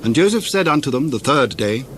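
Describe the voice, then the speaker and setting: A man narrating scripture in archaic English, solemn and measured. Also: mid-range voice, sing-song, a bit bright, a little hiss or hum.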